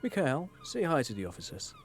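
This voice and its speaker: A man's voice: on the high side, huge pitch swings, fairly quick, faint music behind. An actor playing a chatty father in a video game who lectures on local history in a professorial cadence. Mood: lecturing. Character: chatty adult father, trivia-loving local history buff.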